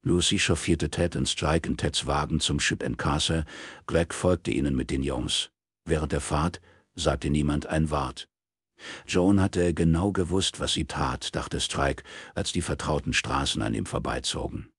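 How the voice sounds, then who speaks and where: A male audiobook narrator with an extremely low, husky voice. He reads prose soberly and in measured phrases, close to the microphone in a dry recording. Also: no echo. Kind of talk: scripted literary narration in German.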